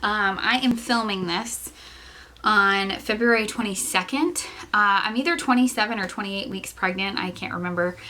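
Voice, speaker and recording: A woman's voice: medium pitch, moderate pace, noisy background. A young woman vlogger chatting casually to camera, stuffy and congested, a little short of breath, rambling with lots of fillers and small laughs. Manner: laughs often between phrases.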